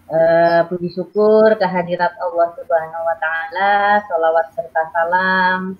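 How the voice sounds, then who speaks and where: A woman teacher moderates an online class. She speaks very slowly and formally. The video-call audio is dull. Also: fairly low pitch. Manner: slow, formal and deliberate. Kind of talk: formal opening of an online lesson.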